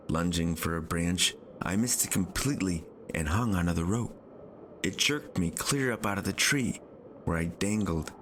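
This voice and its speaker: A man's voice: low voice, slight background noise. A man narrating a historical novel in a scripted, literary style, as an author reading his own audiobook.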